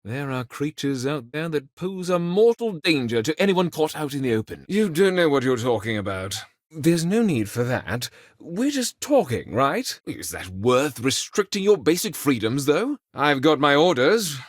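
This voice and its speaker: A polite, talkative man who serves as an official in a frontier space colony, made for a video game. His speech is folksy and earnest, with lively swings in pitch. The recording is dry and close, with no room sound. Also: normal speed, no echo. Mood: defensive. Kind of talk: video game character dialogue.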